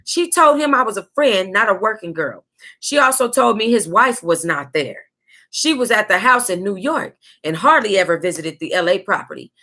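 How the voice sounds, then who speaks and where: An American woman reading a memoir aloud as a book club host, with sassy, exclamatory asides and drawn-out emphasis. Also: medium pitch, animated, pushed and bright.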